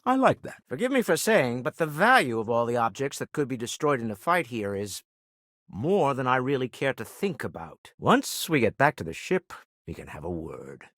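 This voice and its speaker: An actor playing a wealthy, urbane older businessman explorer in a video game, speaking in a refined, measured way with dry wit. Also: medium pitch, sing-song, a bit bright, dry recording. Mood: composed. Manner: refined, measured, dryly witty phrasing. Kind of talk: video game character dialogue.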